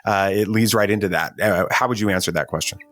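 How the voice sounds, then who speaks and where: A man's voice: fairly low pitch, quick pace, fairly bright tone. A man hosting an interview podcast, speaking to his guest and listeners. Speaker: podcast host.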